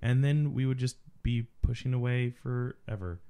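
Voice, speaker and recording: A man's voice: mid-range voice, normal speed, mellow, clean tone. A man co-hosting a casual film commentary, explaining things in a geeky, profane way. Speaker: podcast co-host.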